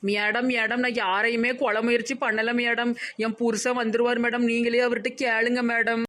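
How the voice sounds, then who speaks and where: A woman's voice: fairly high pitch, little pitch movement, normal speed, fairly bright tone, close to an everyday voice. A woman with a Tirunelveli Tamil accent voicing a boastful, dramatic young newly married village woman in a comedy cartoon. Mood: wailing, indignant. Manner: whiny, pleading, melodramatic.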